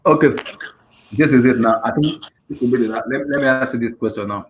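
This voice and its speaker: A man's voice: medium pitch, fast, echoey room, a little hiss or hum. A man hosting a casual football podcast, mostly giving short agreeing replies and easygoing questions. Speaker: podcast host.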